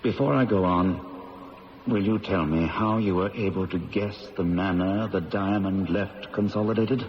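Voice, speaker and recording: An actor playing a guarded, weary accused man in an old-time radio drama, speaking deliberately. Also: low voice, natural voice. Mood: weary, resigned. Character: guarded, weary male diamond-company employee accused of theft. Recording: dull, echoing radio recording, faint hiss.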